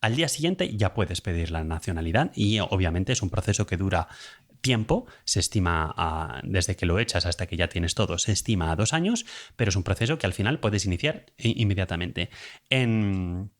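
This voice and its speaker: Man, accent from Spain, low voice, informal explainer host who talks conversationally and feels his way through each point. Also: expressive, moderate pace. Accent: Spanish from Spain. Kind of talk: casual informal explainer monologue.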